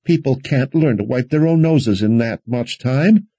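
A man's voice: lively intonation, takes it slowly, mellow, slightly rough, no echo, lo-fi sound, full character voice. A man voicing a fretful, protective woman healer in an audiobook fantasy story, anxious and rambling. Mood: anxious, reassuring. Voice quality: shrill.

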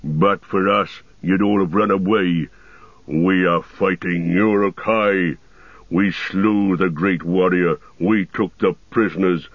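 A man voicing a huge, domineering orc commander in a deep growl, blunt and boastful, in a storybook reading. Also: fairly even intonation, slow pace, full character voice. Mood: boastful, scornful. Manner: blunt, commanding, emphatic declarations. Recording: roomy, dull lo-fi sound.

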